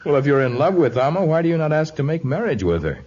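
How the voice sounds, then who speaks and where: A man's voice: fairly high pitch, big pitch swings, fast talker, darkish tone. An actor playing a commanding, fatherly jungle hero with formal, declamatory phrasing, in a 1950s radio drama on an old muffled recording. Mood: amused, helpful. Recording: dull vintage radio recording.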